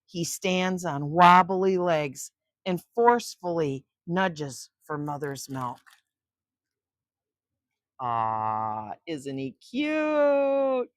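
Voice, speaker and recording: An older woman hosting story time for children, reading a picture book aloud and chatting gently to young listeners in between. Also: on the low side, expressive, drawn-out words, no echo. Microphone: close microphone.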